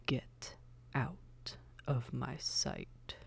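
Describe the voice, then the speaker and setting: A man playing a suave, haughty demon prince in a roleplay audio drama, measured and condescending, a seductive tempter with a gravelly voice that often slips toward a whisper. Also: mid-range voice, fairly even intonation, exaggerated voice. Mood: cold anger. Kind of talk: scripted roleplay audio drama, addressed to listener.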